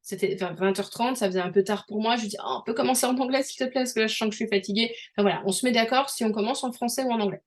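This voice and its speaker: A woman's voice: on the high side, very fast, few pauses. Chatty French woman explaining with examples. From France. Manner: chatty, many restarts and fillers, explains with examples. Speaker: host. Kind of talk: casual conversational interview.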